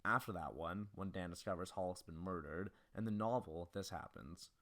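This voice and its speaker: A man with a deep voice narrates a scripted video essay on film. He moves quickly through his analysis. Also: a bit bright, a little hiss or hum.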